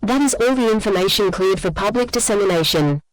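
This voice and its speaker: A woman's voice: mid-range voice, animated, fairly quick, dry recording, close to an everyday voice, poor audio. A woman voicing an impersonal official announcer who reads a formal scripted public alert. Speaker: emergency broadcast announcer.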